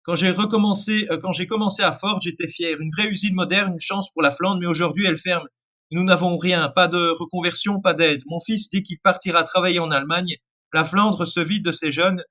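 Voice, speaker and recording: A man's voice: sits high, fairly even intonation, a little fast, pushed and bright. A man reading as a laid-off factory worker. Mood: resigned. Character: laid-off middle-aged car factory worker, resigned.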